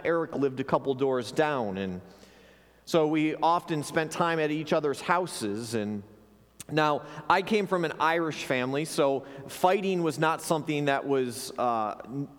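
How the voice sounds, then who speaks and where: A man pastor preaching an earnest sermon to his congregation, sometimes repeating words as he restarts a phrase. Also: fairly high pitch. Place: church sanctuary. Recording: long hall reverb.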